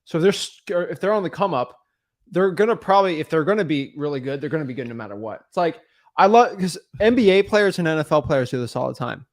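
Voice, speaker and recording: Young man, pakistani accent, fairly high voice, punchy real-estate coach talk. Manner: fast, animated, repeats "right?" and "guys". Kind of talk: casual podcast chat.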